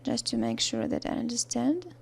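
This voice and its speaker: Soft, gravelly Russian-accented woman narrating. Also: a little high, huge pitch swings. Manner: halting, with many fillers and restarts, soft-spoken. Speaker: art tutorial narrator. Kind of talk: voice-over narration of a drawing tutorial.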